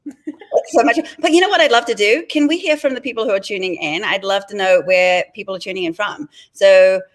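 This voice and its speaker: A woman's voice: medium pitch, brisk. A woman from New Zealand with a New Zealand accent, a guest author chatting casually on a video call with many ums and restarts.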